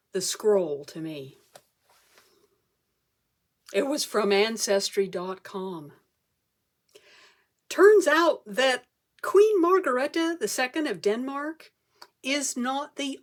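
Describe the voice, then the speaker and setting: A woman performing a comic story as a speech, theatrical, with dramatic pauses and emphasis. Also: on the high side. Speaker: club speaker telling a humorous tall tale.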